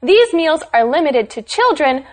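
A woman explains English word use in a scripted lesson. Her voice is high and very animated. She talks like a cheerful teacher. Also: a little slow.

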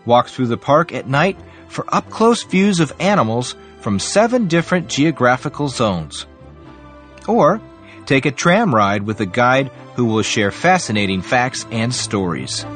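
Brief: male narrator, lively announcer read, soft music underneath. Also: mid-range voice, unhurried. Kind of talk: scripted narration.

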